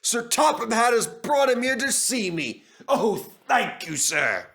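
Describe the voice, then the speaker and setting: A man's voice: extremely high pitch, very animated, measured pace, creaky, fairly bright tone, voice pushed up, heavily acted. A man voicing a proud, gloomy male steam engine in a children's story, grumbling but deferential and easily stirred up. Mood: overjoyed.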